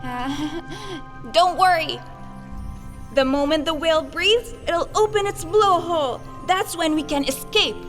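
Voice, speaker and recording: A woman voicing a small magical pet companion in an animated film, bossy, protective and exclamatory. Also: extremely high pitch, a little slow. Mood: hesitant, gentle. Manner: exclamatory, scolding, commanding. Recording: loud music over the voice.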